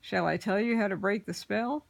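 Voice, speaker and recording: A woman's voice: medium pitch, moderate pace, vocal fry, lightly acted. A woman voicing a clever, bold man who teases and outwits goblins in a storybook reading. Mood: teasing. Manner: bright, confident, teasing.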